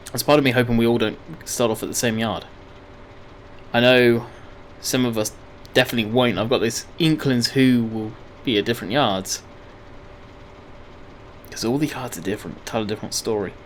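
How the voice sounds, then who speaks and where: A man talks his way through a game in a relaxed, wandering way, pausing to fix his own words as he goes. His voice sits at an ordinary pitch and is a little croaky. A faint engine hum from the game runs underneath. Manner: rambling, chatty, self-correcting. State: slightly croaky voice. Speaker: gaming video host. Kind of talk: casual gameplay commentary.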